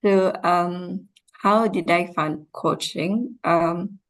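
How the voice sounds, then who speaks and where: A woman from Rwanda, a guest coach, answering interview questions in a measured, reflective way. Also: on the low side, fairly even intonation, rough recording.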